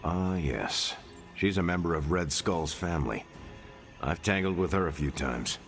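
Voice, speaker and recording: An actor playing a dutiful soldier and team leader in a video game cutscene, speaking in a calm, measured way. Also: male voice, low voice, soft background music. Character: steady, dutiful male soldier-hero leader.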